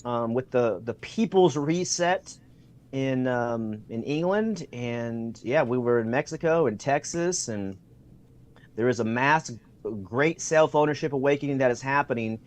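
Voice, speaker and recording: A man's voice: medium pitch, a little slow. A man talking as a guest over a video call, enthusiastic and full of fillers, acting out what people said in his stories. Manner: enthusiastic, acts out quoted remarks, many fillers. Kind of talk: conversational interview storytelling. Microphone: remote video call.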